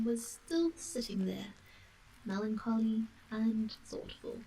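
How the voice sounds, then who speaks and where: A woman reading a bedtime story in ASMR style, soft and breathy with whispery dips. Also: on the high side, far from the mic, slight background noise, low-quality recording. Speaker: storyteller, live-stream reader.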